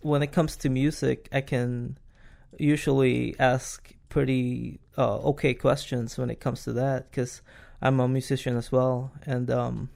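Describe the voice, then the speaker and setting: A man hosting a podcast interview, asking questions in a halting way with many fillers and restarts. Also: mid-range voice, little pitch movement, gravelly, a little mellow. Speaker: podcast host. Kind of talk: casual interview chat.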